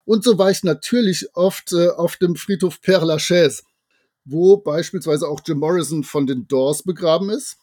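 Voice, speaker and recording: A man's voice: on the high side, normal speed, warm tone, dry recording, studio quality. A man co-hosting a podcast, talking in a wordy, jocular way with colloquial asides. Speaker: podcast co-host. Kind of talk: chatty podcast narration.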